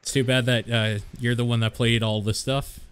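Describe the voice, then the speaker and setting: A laid-back man co-hosting a casual podcast. He answers in short, easygoing bits and swears a little. His voice is heard close and dry, at a middle pitch. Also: flat delivery, normal speed, a bit bright, clean tone, no echo. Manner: laid-back, brief replies, mild swearing. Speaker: podcast co-host. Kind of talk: casual chat.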